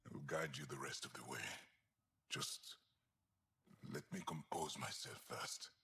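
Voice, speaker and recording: An actor playing a distrustful, anguished alien soldier in a video game, speaking in a halting, dramatic way. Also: male voice, rumbling low, sharp tone, heavily acted. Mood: subdued, focused. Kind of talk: video game cutscene acting.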